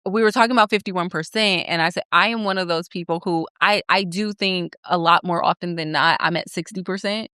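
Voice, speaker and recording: A woman's voice: lowish voice, fairly even intonation, a little fast, few pauses, bright, forceful tone, clean tone, dry recording, studio quality. A young woman co-hosting a faith podcast, explaining her views earnestly and asking her co-host questions. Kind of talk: faith conversation.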